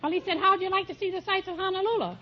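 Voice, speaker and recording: A woman's voice: very high-pitched, rapid-fire, a faint crowd. A woman chatting as a contestant on an old radio quiz show. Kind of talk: chatty anecdote and short answers on a radio quiz. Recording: muffled, noisy 1940s-50s radio recording with audience. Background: studio audience.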